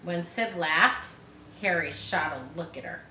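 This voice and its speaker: A woman reading a children's story aloud expressively, pausing with chatty explanations for young listeners. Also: low-pitched, pushed and bright. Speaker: storybook reader. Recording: roomy, distant mic, faint background noise.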